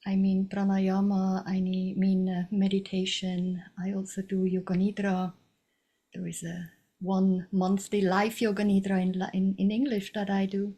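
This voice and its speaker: A woman from Switzerland, a yoga teacher and coach, introducing herself in a calm, earnest way. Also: mid-range voice, moderate pace. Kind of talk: casual self-introduction.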